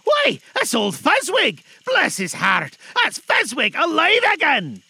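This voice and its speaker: A man's voice: very high-pitched, huge pitch swings, drawn-out words, gravelly, bright, forceful tone, breathy edge, dry recording, voice pushed up, full character voice. A man voicing an emotional old man in a storybook reading, agitated and exclamatory. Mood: excited, nostalgic.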